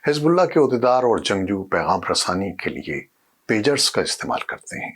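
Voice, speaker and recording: A man presenting scripted news narration in a formal, measured newsreader manner. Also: fairly low pitch, big pitch swings, a bit bright, husky.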